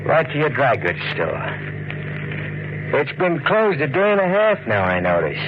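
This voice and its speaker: An actor playing a cocky, insinuating stranger with quiet menace, in 1940s radio crime drama style. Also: male voice, fairly high pitch, wildly swooping pitch, a little slow. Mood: needling, menacing. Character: sly, needling male stranger, menacing hitchhiker in plaid suit. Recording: muffled, noisy 1940s radio recording.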